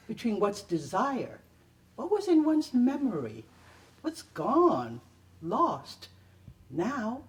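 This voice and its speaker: A woman giving a deliberate, theatrical poetry reading with pauses, at a live event. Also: medium pitch, distant mic. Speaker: performer reading own poetry. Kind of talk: dramatic poetry reading to an audience.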